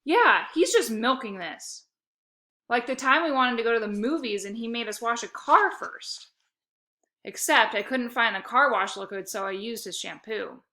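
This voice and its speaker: A woman's voice: on the high side, animated, normal speed, bright, forceful tone. A woman voicing an eager, feisty, competitive child with an emphatic delivery in a children's storybook. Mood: defiant. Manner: emphatic, pleading, teasing. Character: eager, feisty child narrator, a dog-loving competitive sibling.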